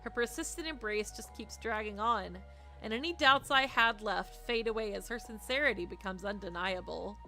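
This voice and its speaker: A woman's voice: mid-range voice, normal speed, sharp tone. A woman streamer reading a visual novel aloud expressively, with playful, teasing asides. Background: music.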